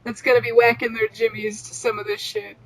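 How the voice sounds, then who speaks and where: A woman's voice: fairly high pitch, big pitch swings, a little fast, gravelly, rough recording. A woman podcast host reading fan fiction aloud in an amateur, casual narration style.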